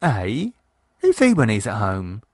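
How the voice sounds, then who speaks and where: A man voicing a sharp-tongued wife, talking in a blunt, quick, scolding way. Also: fairly low pitch, huge pitch swings, breathy edge, no room sound, clean studio sound, heavily acted.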